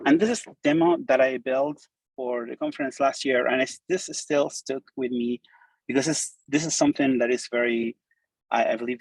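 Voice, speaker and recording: A man's voice: mid-range voice, vocal fry, dry recording, low-quality recording. A middle-aged man from Argentina with an Argentine Spanish accent, native Spanish speaker, giving an informal, enthusiastic meetup talk full of fillers and restarts. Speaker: conference speaker. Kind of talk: live meetup talk with demo.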